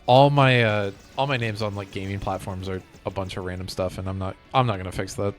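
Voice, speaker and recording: A man's voice: on the low side, fairly even intonation, brisk, soft background music. A man chatting casually on a gaming live stream, rambling as he hops from one thought to the next. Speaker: game streamer.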